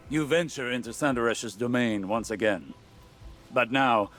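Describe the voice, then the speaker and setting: An actor playing a grave, haunted older mentor in a sci-fi game, formal and portentous. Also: male voice, fairly low pitch, close to an everyday voice. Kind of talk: scripted sci-fi game dialogue.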